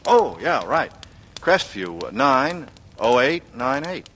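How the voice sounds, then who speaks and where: An actor playing a secretive, coolly sardonic young ex-pilot in a 1940s radio drama, with an American accent and a measured, brooding delivery. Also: male voice, medium pitch, sing-song, a bit bright, a little hiss or hum. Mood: guarded, sardonic. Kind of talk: 1940s radio drama acting, first-person noir narration and dialogue. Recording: vintage radio recording with prominent music.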